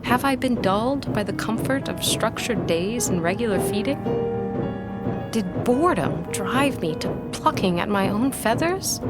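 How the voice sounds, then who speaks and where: An actress playing an earnest, wary young woman scholar in a period romance audio drama, speaking in formal, measured phrases. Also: mid-range voice, close to an everyday voice.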